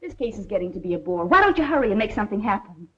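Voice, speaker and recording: An actress playing a feisty, wisecracking young sidekick in a 1930s film, sassy and impatient. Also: female voice, mid-range voice, very animated, very fast, fairly bright tone, slightly rough. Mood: impatient, teasing. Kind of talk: 1930s Hollywood film acting. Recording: noisy, dull 1930s film soundtrack.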